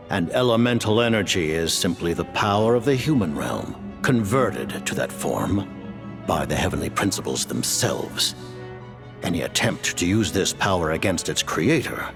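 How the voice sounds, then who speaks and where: Stern, formal commander; very low and gravelly, wide swings, soft score behind. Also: male voice, exaggerated voice. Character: stern, formal highest-ranking male commander. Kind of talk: video game voice acting. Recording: game audio with music.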